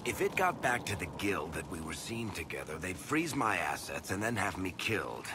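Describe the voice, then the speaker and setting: An actor playing a wry, guilt-ridden dwarf storyteller in a video game, blunt and sardonic but hesitant when pressed. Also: male voice, sits low, very animated, normal speed, background noise. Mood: dry, sardonic.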